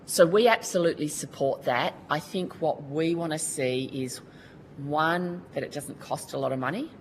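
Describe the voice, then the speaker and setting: A woman's voice: deep voice, moderate pace, slight background noise, low-quality recording. An Australian woman giving earnest, colloquial testimony at a Senate committee hearing. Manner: earnest, colloquial, restarts often. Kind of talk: formal testimony.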